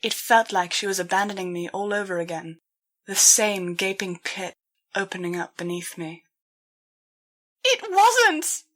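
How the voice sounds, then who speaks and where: A woman's voice: lowish voice, little pitch movement, normal speed, fairly bright tone. A woman narrating a dramatic first-person story as an audiobook reader.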